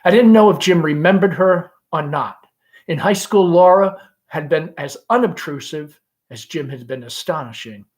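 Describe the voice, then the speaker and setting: A man reading a play aloud in deliberate, expressive scripted narration. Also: a little high. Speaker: reader, principal.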